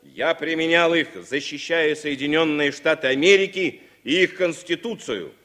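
Man playing principled accused veteran, late thirties, raised-pitch voice, firm and defiant, vintage radio play. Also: fairly bright tone, lightly acted. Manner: measured, firm, oratorical. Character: defiant 37-year-old American war veteran, principled communist suspect. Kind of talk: 1960s Soviet radio drama acting.

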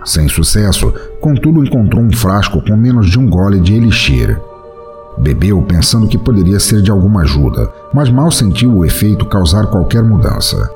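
A man's voice: lowish voice, big pitch swings, normal speed, darkish tone, soft background music. A man with a Brazilian accent narrating a scripted fantasy story as the storyteller of an audio drama.

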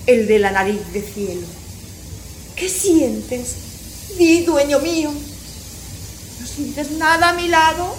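A melodramatic young noblewoman in a comic radio play, her voice high and gushing with love. She declaims slowly and theatrically in an echoey room. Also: a little hiss or hum. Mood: adoring. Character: lovestruck, melodramatic young noblewoman, count's daughter. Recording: roomy echo.

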